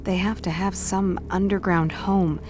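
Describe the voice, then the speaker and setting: An actress playing a confident, courteous adventurer in a fantasy video game, composed and assured. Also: female voice, medium pitch, steady tone, measured pace, close to an everyday voice. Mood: thoughtful. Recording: game cinematic with music behind.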